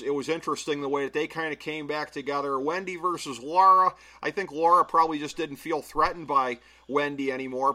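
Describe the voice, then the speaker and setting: A man hosts a casual podcast. His voice is a touch high and bright. He takes each point on a list in turn and talks it through in a measured way, with frequent "uh"s. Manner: measured, filler-heavy analysis. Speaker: podcast host.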